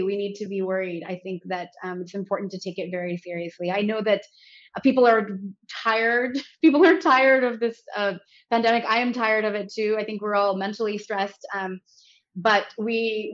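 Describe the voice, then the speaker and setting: Earnest American woman, muffled audio. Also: mid-range voice. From United States. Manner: earnest, articulate, with light laughs. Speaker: health company founder, guest. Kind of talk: interview conversation.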